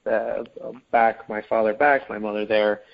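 A man's voice: on the low side, steady tone, measured pace, vocal fry. A man from Spain, a company founder, chatting easily as a guest and laughing readily. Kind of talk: casual interview chat. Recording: dull lo-fi recording.

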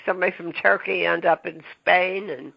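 Male podcast host, husky and raspy-edged, stop-start delivery, recorded dull and dry. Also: high voice, fairly even intonation, no room sound. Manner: halting, full of ums and restarts. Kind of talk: casual interview chat.

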